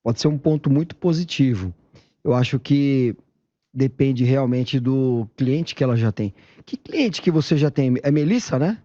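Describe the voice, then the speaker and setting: A Brazilian man with a dark, mellow voice advises casually on a panel. The sound is dry and slightly dull. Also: medium pitch, lively intonation, unhurried, dry recording.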